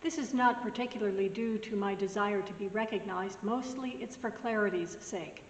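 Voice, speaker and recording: A woman pastor giving a soft-spoken, gently conversational sermon message. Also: mid-range voice, normal speed, a little hiss or hum. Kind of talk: recorded weekly sermon message. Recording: roomy echoing hall, mic at a distance.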